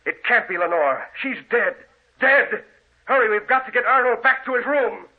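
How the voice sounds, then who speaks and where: A man's voice: very high-pitched, expressive, normal speed. An actor playing a stern, urgent brother chasing his sibling, commanding and emphatic, in old-time radio horror style. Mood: alarmed, stern. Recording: tinny, noisy old radio recording.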